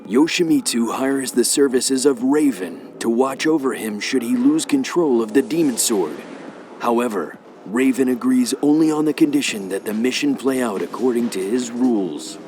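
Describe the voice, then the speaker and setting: A man reading scripted story narration in a measured, expository manner. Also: medium pitch, darkish tone, a little hiss or hum.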